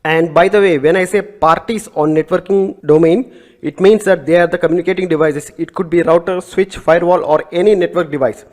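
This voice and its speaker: A male instructor whose first language is Telugu, with a voice sitting a little higher than usual for a man. He lays out networking ideas step by step, rephrasing terms as he explains them. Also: fairly even intonation, fairly quick. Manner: methodical, repeats and rephrases terms, small slips. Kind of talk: recorded networking lecture.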